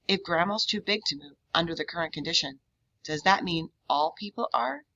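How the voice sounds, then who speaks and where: A woman voicing a curious, analytical young boy detective in a storybook, earnest and deliberate, on a dull, lo-fi recording. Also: fairly low pitch, animated, pushed and bright, full character voice. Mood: determined. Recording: dull, lo-fi sound.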